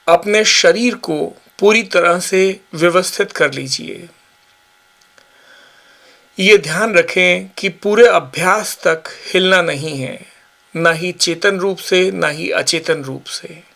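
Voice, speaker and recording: Male meditation guide, fairly high-pitched, very slow delivery, short instructional phrases with pauses. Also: vocal fry, bright, forceful tone. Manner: measured, instructional, pausing between short phrases. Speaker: yoga nidra instructor.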